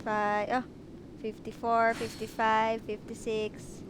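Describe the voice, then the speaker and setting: A woman's voice: a little high, completely flat delivery, takes it slowly, background noise. A woman with a Filipino accent chatting casually as she streams a video game. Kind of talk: casual chat while gaming. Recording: loud game music behind voice. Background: video game music and effects.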